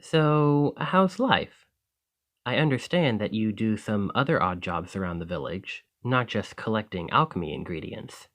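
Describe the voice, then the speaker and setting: A man playing a friendly, excitable village alchemist in an ASMR roleplay, chatting eagerly to the listener in a slightly flustered, rambling way. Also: fairly low pitch, measured pace. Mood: friendly, conversational.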